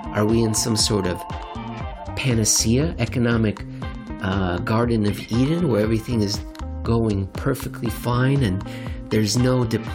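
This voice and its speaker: A man's voice: lowish voice, unhurried, over music. A man hosting a podcast, reading in a wry, dramatic way that mixes theatrical comic narration with casual commentary. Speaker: podcast host and reader.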